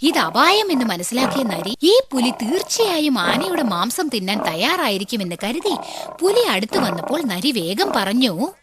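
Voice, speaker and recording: A woman's voice: fairly high pitch, sing-song, moderate pace, noisy background. A woman narrating scripted stories for children.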